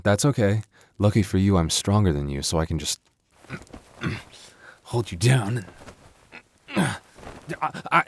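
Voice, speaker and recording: Deep, close-up playful werewolf boyfriend acted by a man. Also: dry recording. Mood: teasing, playful. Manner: conversational, teasing, halting when flustered. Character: playful, devoted young male werewolf boyfriend, soft-hearted and teasing. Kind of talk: intimate boyfriend roleplay ASMR audio.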